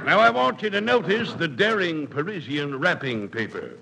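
A burlesque pitchman in a 1940s radio comedy. The high voice delivers slick, deliberate patter and sly wisecracks. Clear noise runs through the old recording. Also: animated. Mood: slick, teasing. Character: slick burlesque theater candy pitchman hawking wares. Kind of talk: comic sales spiel, 1940s radio.

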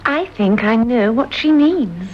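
A crisp young office secretary, answering her boss with dry, quiet teasing. Her voice sits fairly high with a lively lilt, over a dull, lo-fi recording with faint hiss. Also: measured pace. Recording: dull lo-fi old radio recording.

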